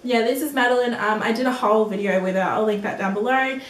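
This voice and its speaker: A woman's voice: a little high, fairly even intonation, quick pace, roomy sound, recorded from a distance, slight background noise. An eighteen-year-old woman answering viewer questions in a casual beauty vlog, chatty and giggly, with lots of ums and likes. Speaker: beauty vlogger.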